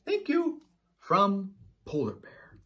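A man voicing a friendly, wistful polar bear from a children's storybook, expressively and with drawn-out words. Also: high voice, huge pitch swings, sharp tone, pitched above the performer's own voice, heavily acted. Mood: pleading, fed up.

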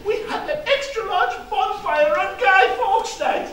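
A man's voice: extremely high pitch, expressive, a little slow, heavily acted. An actor playing a pompous, blustering, exasperated king in a stage pantomime, full of old-fashioned exclamations. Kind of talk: theatrical pantomime acting. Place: theatre stage. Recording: distant stage mic, echoey hall, loud noise.

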